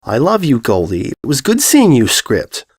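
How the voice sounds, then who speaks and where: A man's voice: mid-range voice, huge pitch swings, normal speed, husky, dry recording, studio quality. A man voicing a cheerful, teasing middle-aged pony mother who is doting, blunt and effusive in a storybook reading. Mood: resigned, affectionate. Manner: effusive, coaxing, uses endearments.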